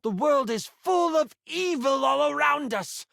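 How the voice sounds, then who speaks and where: Video game villainess, acted by a man: power-hungry enchantress, theatrical and drawn-out, menacing, very mobile pitch, dry close sound. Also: animated, vocal fry, fairly bright tone, no echo, full character voice. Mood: scornful. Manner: monologuing, grandiose.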